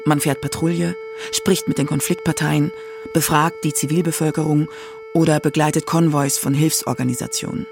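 A woman's voice: low voice, fairly even intonation, normal speed, vocal fry, over music. A woman reading scripted narration for a radio documentary feature.

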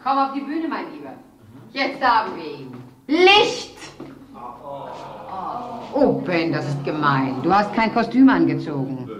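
An actress in a German radio play, playing a theatrical, coquettish society hostess with an affected drawl. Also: female voice, lowish voice, very animated, unhurried, pauses often. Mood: playful, gushing. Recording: echoey room with party crowd.

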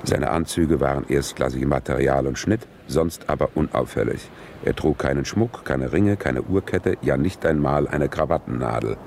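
Solemn radio drama narrator, super low creaky voice, a bit of noise behind. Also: male voice, a little mellow. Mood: solemn, factual. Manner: measured, formal, matter-of-fact. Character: solemn male narrator reporting in formal, exact detail. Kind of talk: scripted radio play narration. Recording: 1970s radio recording, background noise.

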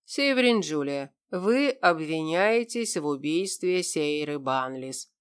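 A woman's voice: sits low, expressive, slow pace, clean tone. A woman voicing a stern male fantasy lord who speaks formally and commandingly in an audiobook. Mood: stern, accusing.

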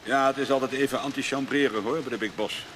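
Radio drama: actor as male executive mentor, brisk, quick delivery, courteous and confiding, noticeable background noise. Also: medium pitch, steady tone, a bit bright, natural voice. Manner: reassuring, deferential, confiding. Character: smooth senior businessman briefing his protégé. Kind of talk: naturalistic Dutch radio drama.

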